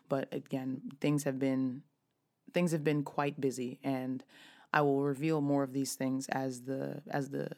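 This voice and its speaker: A woman in her thirties hosting her own podcast, her voice raspy and hoarse, talking casually with frequent restarts. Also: very deep voice, darkish tone. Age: 30s. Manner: chatty, with frequent restarts and fillers. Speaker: podcast host. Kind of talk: casual solo podcast talk.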